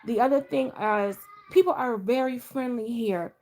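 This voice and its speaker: Middle-aged lady chatting on a livestream, kinda higher voice, rambles and restarts a lot. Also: normal speed, a little mellow. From Delaware, US. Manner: rambling, conversational, frequent restarts. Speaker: vlogger. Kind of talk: casual live chat.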